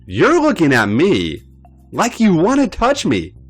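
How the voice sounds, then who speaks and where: A man's voice: wildly swooping pitch, unhurried, slightly rough, soft background music, exaggerated voice. A man doing a coaxing, seductive woman. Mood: teasing. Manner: raised, coaxing falsetto.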